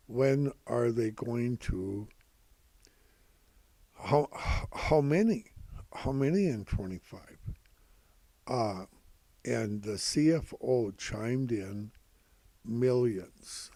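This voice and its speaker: An older man giving informal, rambling financial commentary in a halting, repetitive, folksy way, calling himself Grandpa. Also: medium pitch, warm tone, no echo. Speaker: host of stock prediction videos.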